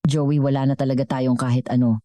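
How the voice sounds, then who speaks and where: A woman's voice: rumbling low, level tone, brisk, dark tone, no room sound, clean studio sound. A woman voicing a despairing, fed-up young woman in a dramatic story, complaining as she gives up on her partner.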